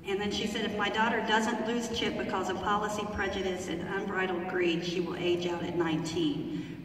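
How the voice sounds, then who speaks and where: A woman's voice: on the low side, slight background noise. A woman at a town hall reading out a written testimonial, carefully and with small fillers. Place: large theater hall. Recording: echoey hall from far off.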